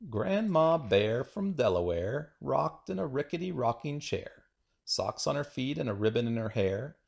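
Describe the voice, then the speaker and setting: A man's voice: medium pitch, sing-song, a little slow, gravelly, a little mellow. A man reading children's rhyming poems aloud in a playful, singsong, rhythmic way. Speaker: children's book reader.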